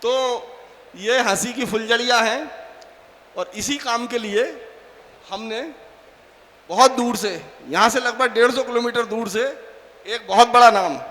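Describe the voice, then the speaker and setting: A man's voice: sits high, bright, forceful tone. A man from Bijnor hosting a poetry gathering on stage, telling a joke full of quoted voices. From Bijnor, India. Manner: storytelling with many quoted voices. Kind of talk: stage anchoring with a told joke. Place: large auditorium. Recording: long hall reverb.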